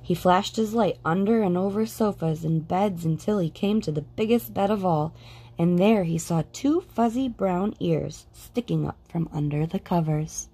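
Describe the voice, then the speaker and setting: A woman reading a children's picture book aloud with a lilting, expressive storytelling manner. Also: lowish voice, moderate pace, breathy edge. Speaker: storybook reader.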